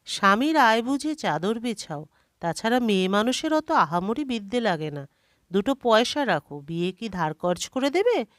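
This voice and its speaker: A woman's voice: fairly high pitch, sing-song, moderate pace, no echo, studio quality. A woman storyteller voicing a stern, belittling elderly mother-in-law with a blunt, scolding manner.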